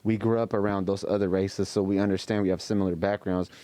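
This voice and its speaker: A man's voice: sits low, little pitch movement, fast talker, mellow, no room sound. A man co-hosting a podcast, agreeable and reflective in casual chat. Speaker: podcast co-host.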